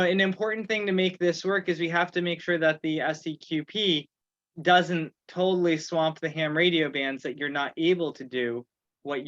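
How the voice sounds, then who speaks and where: A man with a slightly high voice chairs a casual online meeting. He talks haltingly, working through his thoughts aloud. The sound is dry and a little dull, as on a video call. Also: a bit bright, no echo. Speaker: meeting host and organizer. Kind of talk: informal online meeting talk. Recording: muffled video call audio.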